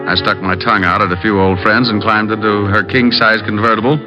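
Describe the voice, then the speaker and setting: Guy playing breezy american private eye, lowish voice, wisecracks, old muffled radio show. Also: little pitch movement, brisk, over music, close to an everyday voice. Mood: wry narration. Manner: breezy, teasing. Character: wisecracking, cool-headed male private detective. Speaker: lead actor and narrator. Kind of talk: 1940s-50s radio detective acting.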